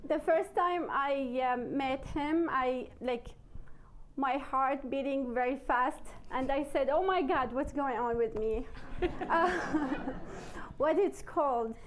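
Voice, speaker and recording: A woman from Syria, speaking English with a Syrian Arabic accent, telling her personal story to an audience in halting speech with many fillers. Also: sits high, rough recording. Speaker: panelist. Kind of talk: personal testimony to a live audience.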